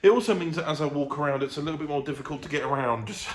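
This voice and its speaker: A man's voice: fairly high pitch, very fast. A man chatting casually to a vlog, rambling hesitantly, correcting himself and trailing off. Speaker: vlogger. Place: living room of own apartment. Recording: echoey room with faint ambient noise.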